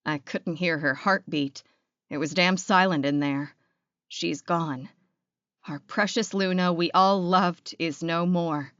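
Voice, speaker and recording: A woman's voice: fairly low pitch, takes it slowly, fairly bright tone, smooth, no room sound, highs cut off. A woman voicing a loyal, obliging male werewolf sentinel in an audiobook. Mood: grieving. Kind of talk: audiobook character acting.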